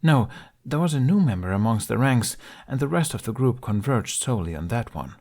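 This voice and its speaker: A man reading a fan fiction aloud as an audiobook, in soft, measured storytelling. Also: medium pitch, lively intonation. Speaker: podfic reader.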